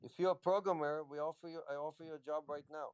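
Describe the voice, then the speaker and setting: A man's voice: fairly high pitch, steady tone, quick pace, clean tone. A man, a company executive, casually answering questions on a live audio chat in a loose, rambling way. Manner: rambling, loose, lots of "you know".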